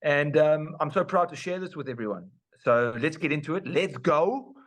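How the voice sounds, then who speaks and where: Hyped co-host. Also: male voice, a little high, animated, moderate pace. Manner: warm, hyped, slangy. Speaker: podcast co-host. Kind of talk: casual podcast chat.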